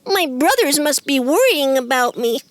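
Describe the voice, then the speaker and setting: A woman voicing a childlike, plaintive little lost piglet in an animated fairy tale. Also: high-pitched, moderate pace. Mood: pleading, worried.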